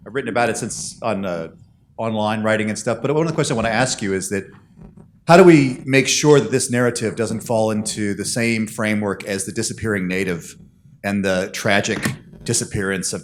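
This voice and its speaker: A man's voice: fairly low pitch, a little hiss or hum. A man in the audience asking a long, passionate, concerned question into a handheld microphone. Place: lecture hall audience.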